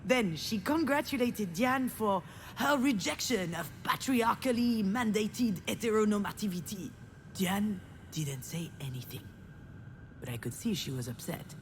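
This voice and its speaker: A woman's voice: mid-range voice, a little hiss or hum, close to an everyday voice. An actress playing a frustrated, self-deprecating woman who narrates her family wounds in a confiding, bitter game monologue. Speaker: visual novel protagonist. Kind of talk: dramatic first-person game narration.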